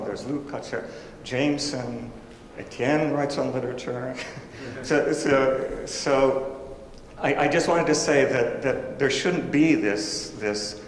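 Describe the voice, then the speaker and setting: Male academic panelist, reflective and halting, recorded in a roomy hall. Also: medium pitch. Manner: thoughtful, halting with repeated restarts. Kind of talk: conference remarks. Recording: roomy hall with long reverb, faint noise.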